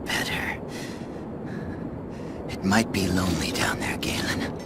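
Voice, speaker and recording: An actor playing a hardened, world-weary male warrior in a game cutscene, gravelly, cynical and brooding, often close to a whisper. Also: low voice, very noisy. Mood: grim, resolved. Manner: slow, brooding delivery, often dropping near a whisper. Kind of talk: dramatic video game cutscene acting and narration.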